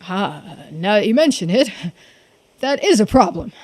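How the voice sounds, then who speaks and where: A woman voicing a florid, well-spoken romantic gentleman in a video game, with formal, dramatic delivery. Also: medium pitch, sing-song, unhurried. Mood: sheepish, formal. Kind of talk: video game dialogue read aloud in character.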